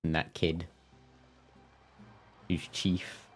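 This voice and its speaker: A man's voice: low voice, completely flat delivery, extremely slow, music in the background. A man chatting casually as he live-streams a video game. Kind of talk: casual live gaming commentary.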